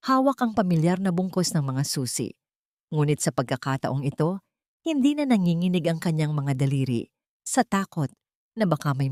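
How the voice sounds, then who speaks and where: A woman's voice: sits low, a little mellow, no room sound, studio quality. A woman reading a scripted dramatic story as its narrator.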